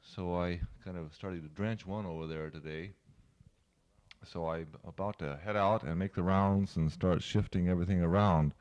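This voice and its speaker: A man recording a casual spoken letter to family, talking in a halting, plain-spoken way. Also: low-pitched, fairly even intonation, darkish tone. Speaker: family member sending a taped letter. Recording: lo-fi 1970s cassette tape.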